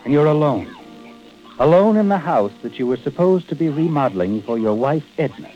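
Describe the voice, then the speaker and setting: An American actor playing a knowing, taunting narrator of a 1940s-style radio suspense show, insinuating and dramatic. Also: male voice, a little high, big pitch swings, a little fast, warm tone, soft background music. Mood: ominous. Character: knowing, taunting mystery narrator addressing the guilty man. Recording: muffled old radio broadcast recording.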